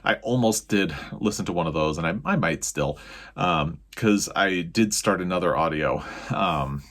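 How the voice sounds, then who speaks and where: A man's voice: low-pitched, animated, moderate pace, fairly bright tone. A man giving a casual, chatty book review as a video host, leaning on key words and adding self-qualifying asides.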